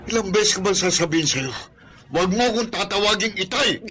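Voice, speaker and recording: An actor playing a bitter, sick, hard-drinking father who gruffly scolds and rejects his child in a Filipino radio drama. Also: high-pitched, animated, fairly quick, pushed and bright, heavily acted. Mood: angry, bullying. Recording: music playing behind the dialogue.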